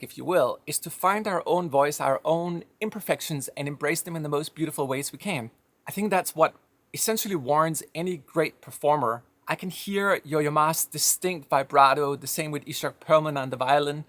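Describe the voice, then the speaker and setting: A man's voice: on the high side, normal speed, a bit bright, no room sound. A man from Denmark with a slight Danish accent, giving a reflective, conversational monologue with firm opinions. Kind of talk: personal monologue.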